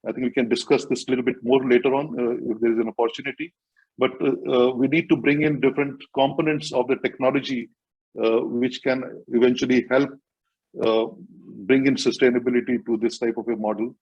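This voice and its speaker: Guy presenting on a zoom call, lots of uhs, kinda husky, muffled lo-fi sound. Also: medium pitch, fairly even intonation, fairly quick, a little mellow. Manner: hesitant, many fillers. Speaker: workshop presenter. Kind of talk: online presentation. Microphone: video call. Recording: muffled video call audio.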